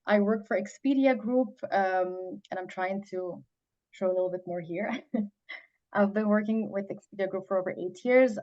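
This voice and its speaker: A woman's voice: lowish voice, a little mellow. A woman from Iran who grew up in Belgium, speaking as a panelist from her home office on a video call and introducing herself eagerly. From Iran, raised in Belgium. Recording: dull lo-fi call audio.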